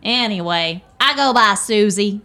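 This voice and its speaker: A woman's voice: medium pitch, animated, unhurried, noisy background, natural voice. A woman playing a friendly, talkative, plainspoken homeless woman in a radio play, with a rustic, folksy manner.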